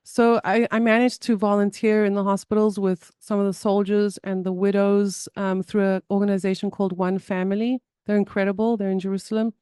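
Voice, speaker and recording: A woman's voice: medium pitch, level tone, brisk, hardly any pauses, mellow, clean tone, dry recording, studio quality. A woman with a South African accent, a therapist speaking earnestly in an interview, often restarting and repeating words. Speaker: guest, somatic therapist. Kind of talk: conversational interview.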